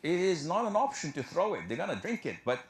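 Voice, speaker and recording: A man giving an earnest religious lecture, explaining in a steady, lecturing way. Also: on the high side, fast talker, roomy sound. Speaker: preacher.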